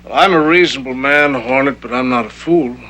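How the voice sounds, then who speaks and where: An actor playing a ruthless, irritable gangster boss in a 1960s TV crime drama, shrewd and distrustful, with a curt, commanding way of talking. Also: male voice, medium pitch, brisk, bright, forceful tone. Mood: wary, cold.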